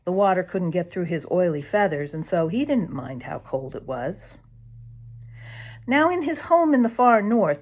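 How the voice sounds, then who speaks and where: A woman reading a children's storybook aloud as a storyteller, with dramatic swells and emphatic pauses. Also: lowish voice, darkish tone. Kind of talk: expressive children's storybook narration.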